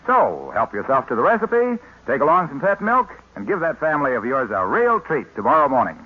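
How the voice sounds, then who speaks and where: A man's voice: medium pitch, big pitch swings, fairly quick, breathy edge. A man announcing a 1950s radio show and reading its sponsor ad in an upbeat, hard-selling way. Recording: muffled 1950s radio broadcast.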